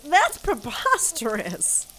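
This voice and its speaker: Woman acting as a haughty young captain, high bright voice, huffy and prim. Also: huge pitch swings, slow, a little hiss or hum, heavily acted, low-quality recording. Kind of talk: audio drama acting.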